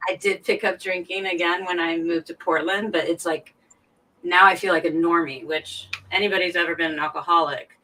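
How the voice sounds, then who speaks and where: A woman's voice: on the low side, steady tone, a little fast, sharp tone, rough recording. A woman chatting casually and personally as a guest on a live stream.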